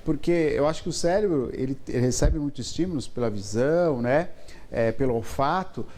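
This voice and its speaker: A man's voice: medium pitch, fairly quick, a little mellow. A man with a Brazilian Portuguese accent hosting a casual TV interview in a studio, chatty and full of "né?" and backchannel murmurs. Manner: chatty, frequent "né?" and "uhum" backchannels. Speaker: TV host.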